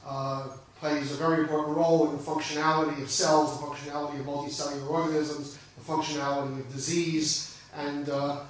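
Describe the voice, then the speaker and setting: An older man welcomes a conference crowd in a measured, upbeat way, often pausing on fillers. His voice sits slightly high for a man and rings through a very echoey hall from a handheld mic held some way off, with faint room noise behind. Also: a bit bright. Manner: fluent but filler-heavy, measured, enthusiastic. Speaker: conference organizer introducing speakers. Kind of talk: welcome speech and speaker introduction. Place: large conference hall, indoors. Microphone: handheld microphone. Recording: echoey hall, picked up from a distance.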